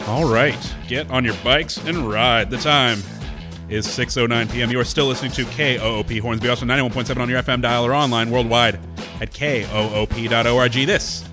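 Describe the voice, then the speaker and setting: A man hosting a radio show in casual, joking banter, his voice hoarse from a lingering cold. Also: mid-range voice, a little fast, music in the background. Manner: wry, joking, lively. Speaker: radio host. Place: radio studio.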